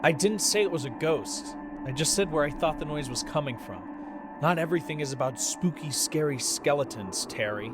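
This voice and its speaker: A man's voice: a little high, expressive, moderate pace, over music, close to an everyday voice. A young man playing a skeptical, jumpy, sarcastic guy spooked by his friends in an amateur radio play. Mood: wary, dry. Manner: exasperated, sarcastic.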